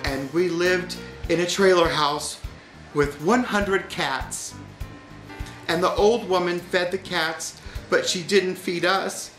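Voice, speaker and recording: Man playing blunt, mischievous little twins, unhurried. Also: high voice, fairly even intonation, fairly bright tone, roomy sound, faint music behind, poor audio. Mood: mock pitiful. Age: child. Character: strange, mischievous lying young twin children.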